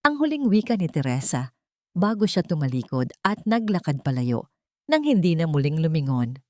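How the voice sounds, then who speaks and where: A woman narrating a scripted dramatic audio story in a measured, solemn manner. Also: low voice, very animated, dry recording, clean studio sound.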